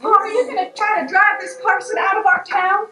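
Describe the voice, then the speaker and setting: A woman playing a softhearted, chiding daughter in a Western town, in an improvised radio play on stage. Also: very high-pitched, fairly even intonation, a little fast, a crowd in the background. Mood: pleading. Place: live improv show on a stage. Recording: echoey stage with faint noise.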